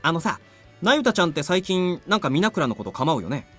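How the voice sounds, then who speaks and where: A man playing a teasing, slangy high school boy in a visual novel. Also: very animated, racing, fairly bright tone, faint music behind. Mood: nosy. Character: teasing, casual high school classmate, male. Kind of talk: Japanese visual novel voice acting.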